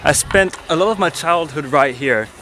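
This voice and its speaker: A man's voice: a little high, lively intonation, moderate pace, pushed and bright, slight background noise. A man from Corfu, Greece, presenting a casual personal vlog in an informal storytelling way. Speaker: vlogger and presenter. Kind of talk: casual to-camera personal narration.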